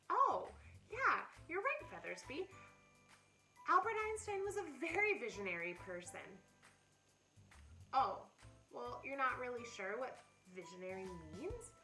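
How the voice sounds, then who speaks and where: A woman with a high, bright voice hosts a children's story time. Her delivery swings widely in pitch as she explains ideas in simple terms for young kids. Soft music plays faintly behind her. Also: very animated, measured pace, pauses often. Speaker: camp director hosting a children's story time. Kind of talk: children's read-aloud intro, talking to a puppet.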